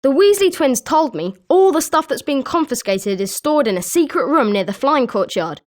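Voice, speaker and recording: A woman's voice: high-pitched, very animated, normal speed, darkish tone. A woman voicing a chatty, opinionated young student at a wizard school in scripted video game lines. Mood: helpful, eager.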